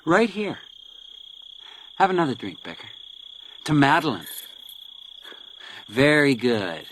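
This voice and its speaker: A man's voice: mid-range voice, big pitch swings, takes it slowly, fairly bright tone, a little hiss or hum. An actor playing a sadistic, theatrically playful male villain in a radio crime drama. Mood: cruel goading. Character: sadistic, taunting male villain who plays deadly games.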